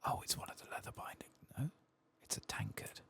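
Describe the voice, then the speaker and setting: A man giving soft-spoken, partly whispered ASMR commentary on a game, murmuring and musing to himself close to the microphone. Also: fairly quick. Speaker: gaming channel host.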